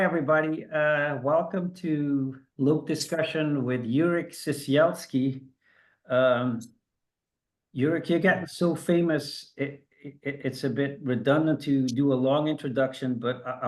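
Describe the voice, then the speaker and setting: A man's voice: mid-range voice, creaky. A man from Belgium hosting an online industry discussion, chatty and self-deprecating, often restarting his sentences. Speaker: host.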